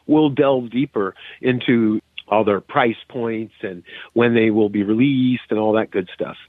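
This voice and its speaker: A man's voice: lowish voice, measured pace, no echo. Man on a thin, phone-like line. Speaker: podcast contributor. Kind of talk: casual podcast chat. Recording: thin phone-like radio sound.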